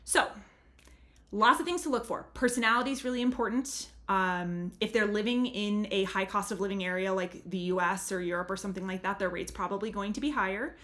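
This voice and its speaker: A woman's voice: medium pitch, fairly quick, sharp tone. A woman from the United States, a language tutor, giving chatty, earnest advice straight to the camera. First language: English. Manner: chatty and earnest, leans on "really" and "super". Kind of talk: casual advice talk to camera.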